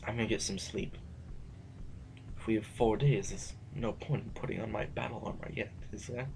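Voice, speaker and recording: A man's voice: on the low side, steady tone, moderate pace, creaky, heavy background noise. Man playing an anxious, reluctant intellectual rebel. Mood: weary, resigned. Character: reluctant male intellectual rebel, anxious, self-preserving, argumentative. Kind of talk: audio drama acting.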